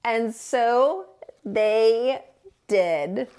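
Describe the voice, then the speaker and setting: A woman narrating a children's storybook as a storytime teacher, in an expressive read-aloud style. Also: fairly high pitch, very slow, clear, resonant tone.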